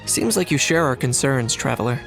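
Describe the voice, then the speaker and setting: An actor playing a composed young adventurer in a video game, calm and articulate with a dry manner, over background music. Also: male voice, mid-range voice, fairly quick, creaky.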